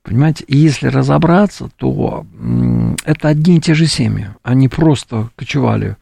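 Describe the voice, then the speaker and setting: A man with a very gravelly, dark voice gives opinionated political commentary as an interview guest. He speaks haltingly and keeps checking that the listener follows. The sound is dry, as in a studio. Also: medium pitch, very animated, no echo. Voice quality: gravelly, husky. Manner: halting, peppers speech with appeals to the listener. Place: radio studio.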